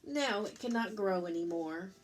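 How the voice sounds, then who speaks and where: A woman's voice: medium pitch, expressive, normal speed, soft background music. A woman, a teacher reading a picture book aloud to young children, with simple explanatory asides and questions for her listeners.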